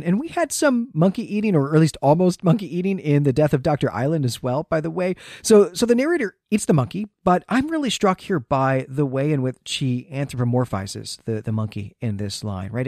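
A man's voice: a little high, a little mellow, no room sound, studio quality. A man hosting a podcast, giving an analytical, conversational recap of a story and repeating words as he thinks aloud. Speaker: podcast host. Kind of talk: conversational literary recap, partly reading quotes aloud.

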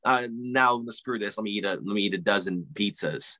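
A man's voice: on the low side, normal speed, pushed and bright, no echo. A man co-hosting a casual podcast chat, leaning on fillers and tagging "right?" onto his points. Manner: fillers, keeps asking "right?".

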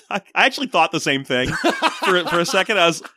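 A man's voice: high voice, big pitch swings, a little fast, pushed and bright, dry recording. Giggly man co-hosting a movie podcast. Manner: giggly, joking, rambling. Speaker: podcast co-host. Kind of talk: casual chat recapping a movie.

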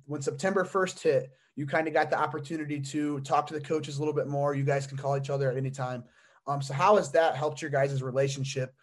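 A young man hosting a sports podcast, asking long, rambling questions full of fillers and restarts. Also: on the high side. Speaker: podcast host. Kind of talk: casual sports interview.

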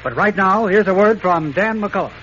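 A man's voice: high-pitched, expressive, moderate pace, pushed and bright. A man with an American accent giving dramatic scripted narration in the style of a 1940s radio serial. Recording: muffled, noisy old radio recording.

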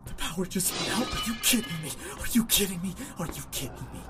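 A man's voice: high-pitched, lively intonation, fast. A man playing a frightened, foul-mouthed ordinary guy in a horror audio drama, muttering and exclaiming to himself. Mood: frantic. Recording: music behind the voice.

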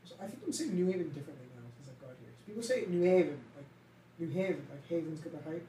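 A man's voice: fairly high pitch, lively intonation, quick pace, dark tone. A young man with a heavy Boston accent, co-hosting a casual podcast chat and rambling loosely with some swearing. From Massachusetts. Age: young adult. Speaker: podcast co-host. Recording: roomy, distant from the mic, faint ambient noise.

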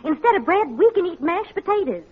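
Earnest, high-voiced reproachful housewife. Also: female voice, very animated, fairly quick. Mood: reproachful, grave. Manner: earnest, emphatic, lecturing. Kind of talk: 1940s American radio sitcom dramatic acting. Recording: muffled old radio recording.

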